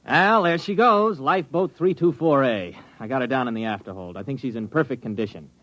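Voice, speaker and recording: An actor playing a breezy, confident surveyor in a radio drama, speaking in a brisk, informal way. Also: male voice, medium pitch, fairly bright tone, lightly acted. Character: breezy, confident male surveyor, the narrator's business partner. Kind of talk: scripted radio drama acting. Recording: muffled old radio drama recording.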